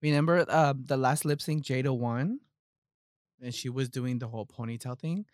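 A man's voice: mid-range voice, normal speed, a little mellow, no room sound, studio quality. A man hosting a casual pop-culture recap podcast, talking in sassy, emphatic slang with plenty of swearing. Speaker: podcast host.

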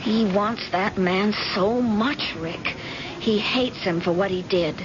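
An actress playing an anxious, devoted grown daughter in an old-time radio crime thriller, speaking earnestly and insistently. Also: female voice, medium pitch, slow, close to an everyday voice. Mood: pleading, insistent. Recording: dull, very noisy old radio recording.

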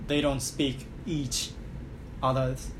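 A man in a casual conversation, speaking haltingly and searching for words with many fillers. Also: medium pitch, little pitch movement. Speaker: conversation partner. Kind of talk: casual chat. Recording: clear background noise.

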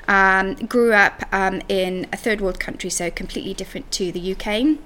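A woman's voice: medium pitch, steady tone, normal speed, sharp tone. A woman from Zimbabwe speaking as a podcast guest and coach in a conversational interview.

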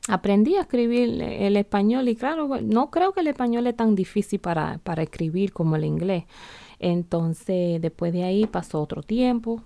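A middle-aged woman raised in the Bronx hosts a solo podcast, speaking Spanish with a loose mix of Dominican and other Latin American accents. Her voice sits low and mellow with a gravelly fry. She rambles softly and restarts often, and the recording is close and dry. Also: no room sound. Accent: Dominican Spanish mixed with other Latin American accents. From United States (the Bronx). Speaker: podcast host. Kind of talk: casual solo podcast monologue.

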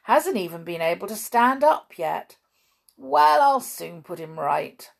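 A kindly old gnome doctor from a children's storybook, acted out by a woman. He is fussy and soothing, bustling through his words and repeating himself. His pitch lifts and swings with every phrase. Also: big pitch swings, creaky, full character voice. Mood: bustling concern. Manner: soothing, repetitive, brisk.

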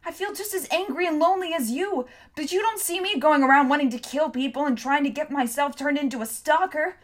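A woman voicing a desperate, angry teenage boy who shouts at his friend. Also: high-pitched, fairly quick, pitched above the performer's own voice, natural voice.

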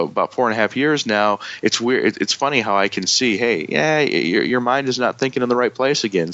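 A man with a northern US accent talks candidly about his recovery as a guest, in a fairly low voice. He is reflective and pauses on "you know" often. The sound is dry and a bit dull and muffled. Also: fairly bright tone, dry recording. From Michigan.

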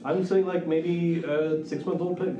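A man's voice: a little high, steady tone, a little slow, muffled recording. A man playing a tabletop game, chatting in casual, joking banter. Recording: distant, echoey, with loud music.

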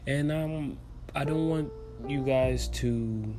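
A man's voice: mid-range voice, slow, over music. A man in his twenties from the Dominican Republic, with Spanish as his first language and a light accent, talking earnestly and a bit ramblingly as a podcast host, restarting his sentences. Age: 20s. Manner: earnest, rambling, motivational, with many fillers and restarts. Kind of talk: casual solo podcast monologue.

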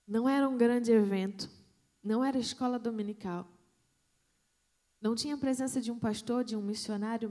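A woman missionary, telling a testimony in a sermon, with dramatic pauses and hushed emphasis. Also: mid-range voice. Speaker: missionary preacher.

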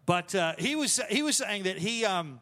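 A man's voice: high-pitched, animated, normal speed, bright, forceful tone. A man preaching a sermon, telling stories in a casual, self-deprecating way with many fillers. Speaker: pastor. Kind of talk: sermon storytelling. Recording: long hall reverb.

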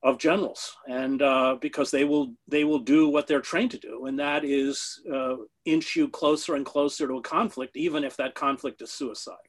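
A middle-aged man answering interview questions with passion, speaking in halting bursts full of fillers and restarts. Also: medium pitch, fairly even intonation. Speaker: interview guest, former foreign correspondent.

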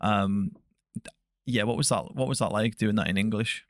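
A man's voice: lowish voice, steady tone, moderate pace, no room sound, studio quality. A young man hosting an interview podcast, asking long, rambling questions full of fillers. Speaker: podcast host. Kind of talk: casual interview.

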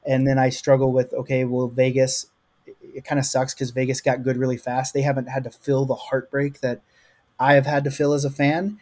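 A man from the US South speaks with a light Oklahoma accent, giving an interview on a podcast. He rambles earnestly, full of "like"s, in a mellow, dark tone. His pitch stays flat and even. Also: fairly quick. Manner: rambling, earnest, lots of likes. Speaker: podcast guest. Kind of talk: casual interview.

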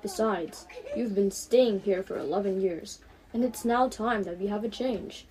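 A teenage woman voicing a kindly father who reasons gently in a storybook. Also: mid-range voice, unhurried, lightly acted, poor audio. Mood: patient, firm. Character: kindly, patient, soothing father of a young girl.

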